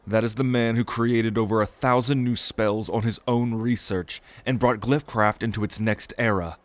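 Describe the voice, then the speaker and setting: An ambitious young noble mage played by a man in a fantasy audio roleplay. His voice is on the low side, and he speaks with proud, earnest theatricality, as if delivering grand lore to one companion. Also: no echo. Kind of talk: acted audio roleplay spoken to the listener.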